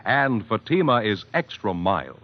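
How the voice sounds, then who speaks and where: Animated male radio pitchman. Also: measured pace. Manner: emphatic, polished salesmanship. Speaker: commercial announcer. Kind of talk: 1950s radio advertising pitch. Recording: dull old radio recording.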